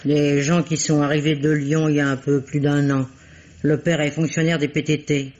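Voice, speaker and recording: An actress playing a nosy, talkative concierge in a French radio mystery, colloquial and quick to justify herself. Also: female voice, deep voice, flat delivery, a little mellow, natural voice. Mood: gossipy, prim. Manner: colloquial, gossipy, self-justifying. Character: nosy, gossipy female concierge, talkative and defensive. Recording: dull lo-fi radio recording.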